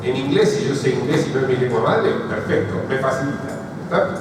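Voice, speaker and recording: Argentine guy teaching a seminar, kinda high voice, sounds far off in a big echoey hall with noise. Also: a little fast. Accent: Argentine Spanish. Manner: explanatory, keeps asking "¿sí?" and "¿está?" to check in. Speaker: psychoanalyst lecturing a seminar. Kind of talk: seminar lecture answering a question. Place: large university lecture hall.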